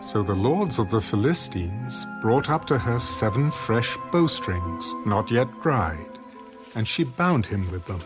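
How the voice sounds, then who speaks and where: A man's voice: on the low side, expressive, measured pace, over music. A man narrating scripture in a solemn, dramatic storytelling style for an audio production.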